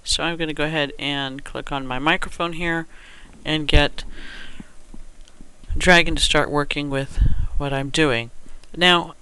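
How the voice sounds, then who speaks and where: Man, software instructor, fairly high voice, crisp and clear, halting step-by-step delivery. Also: fairly even intonation, rough recording. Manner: halting, thinks aloud while working. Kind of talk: unscripted step-by-step screen tutorial.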